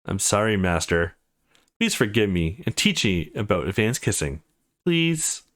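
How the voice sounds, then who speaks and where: Man reading as a playful, animated young game heroine. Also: fairly low pitch, measured pace. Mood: playful, eager.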